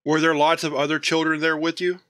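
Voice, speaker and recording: A man playing a gentle, concerned agent questioning a child in a horror audio drama. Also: a little high, level tone, brisk, dry recording, clean studio sound, natural voice.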